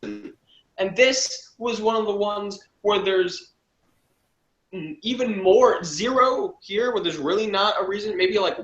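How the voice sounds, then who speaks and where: Blunt, higher-pitched man in a roomy recording. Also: fairly bright tone. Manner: blunt, disparaging, informal. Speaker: podcast reviewer. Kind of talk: casual opinionated review chat. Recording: dull, roomy, distant mic.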